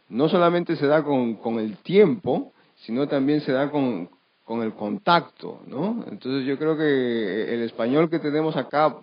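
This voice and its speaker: A man on a discussion panel, speaking off the cuff in a halting way, searching for words and adding frequent tag questions. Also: medium pitch. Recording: dull, lo-fi event recording.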